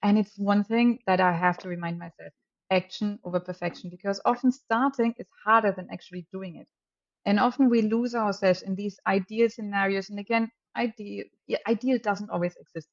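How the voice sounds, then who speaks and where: A woman's voice: medium pitch, fairly even intonation, a little fast, lo-fi sound. A woman whose first language is German, chatting as a podcast guest with enthusiasm and frequent laughter, sometimes correcting herself mid-sentence. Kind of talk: casual podcast conversation.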